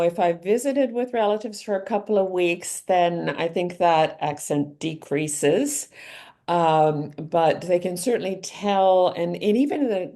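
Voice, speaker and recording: A middle-aged woman being interviewed about her life, answering in a thoughtful, measured way with frequent ums and restarts. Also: lowish voice, dark tone. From Canada. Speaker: author and guest.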